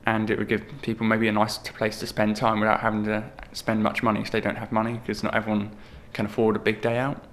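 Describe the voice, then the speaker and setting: A 21-year-old man speaking slowly and with many fillers, giving personal testimony for a short film. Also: fairly low pitch, monotone, fairly bright tone, slight background noise. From Portsmouth, England. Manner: slow, measured, with many fillers. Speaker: young film participant.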